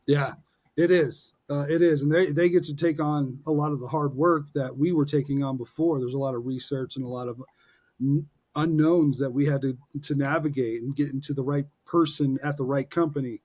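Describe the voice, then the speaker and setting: A man's voice: fairly high pitch, fairly even intonation, normal speed, darkish tone, breathy edge. A man in his forties co-hosting a podcast, chatting casually and upbeat, ribbing his co-host with playful jokes. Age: 40s. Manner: upbeat and joking, with many fillers and restarts.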